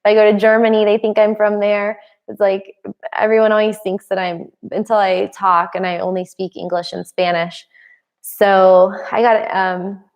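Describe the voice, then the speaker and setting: A young adult woman chats through a live Q&A, reading viewer comments aloud and answering in a loose, friendly way. Her voice sits at a middle pitch with a noticeable gravelly fry. She laughs often between remarks. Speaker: live stream host. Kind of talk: casual live Q&A chat.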